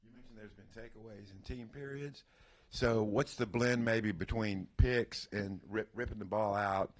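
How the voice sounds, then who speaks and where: Low-voiced direct male reporter. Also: steady tone, normal speed. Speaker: sports reporter. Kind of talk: press conference question.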